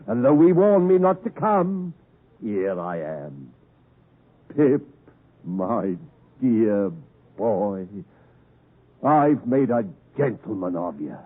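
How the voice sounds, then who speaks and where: An actor playing a rough old escaped convict in a 1950s radio drama, with rustic diction and halting, emphatic phrasing, by turns menacing and doting. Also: male voice, on the low side, full character voice. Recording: muffled narrowband 1950s radio broadcast recording.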